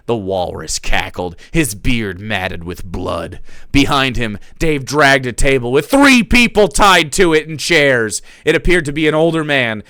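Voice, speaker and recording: Brief: young male streamer, dramatic read-aloud, bright edgy voice, dry close sound. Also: mid-range voice, no room sound. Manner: theatrical, breaks off to laugh and comment. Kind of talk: dramatic reading of fan fiction.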